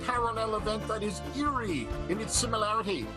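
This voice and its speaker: A man's voice: sits high, brisk. A man hosting and narrating a radio show in a playful, showy, dramatic announcer style. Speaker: host and narrator. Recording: music bed behind the voice.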